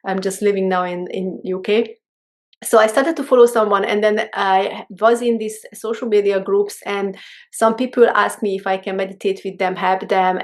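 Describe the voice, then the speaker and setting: A middle-aged woman with a Hungarian accent, a guest in a casual interview, speaking in a halting way with many restarts. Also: medium pitch. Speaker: guest, holistic mentor.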